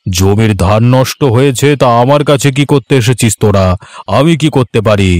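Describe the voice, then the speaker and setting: A man's voice: mid-range voice, moderate pace, darkish tone, no room sound, studio quality, natural voice. A man playing a haughty, middle-aged village headman in a children's cartoon, speaking in a bossy way. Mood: scornful. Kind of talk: Bengali children's cartoon voice acting.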